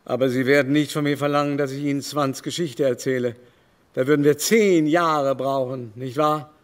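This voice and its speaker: An actor in a German radio play voicing a vain, haughty older baron with a mannered, theatrical, confiding delivery. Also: male voice, mid-range voice, fairly even intonation, close to an everyday voice. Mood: affected indignation. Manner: mannered, theatrical, drawn-out emphasis.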